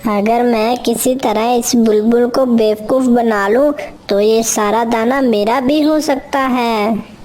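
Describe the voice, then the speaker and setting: A woman voicing a lazy, scheming male crow in a children's cartoon, with a coaxing, deliberate delivery. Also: a little high, steady tone, darkish tone, voice pushed up. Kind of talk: Hindi children's cartoon voice acting.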